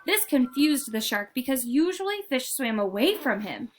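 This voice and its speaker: A woman narrating a children's picture book in an expressive, deliberate storytelling manner. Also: high-pitched, soft background music. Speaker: read-aloud storyteller.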